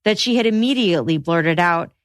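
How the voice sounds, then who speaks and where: A woman hosting a podcast, reading scripted true crime narration in a measured, matter-of-fact way. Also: lowish voice, expressive, no room sound, studio quality.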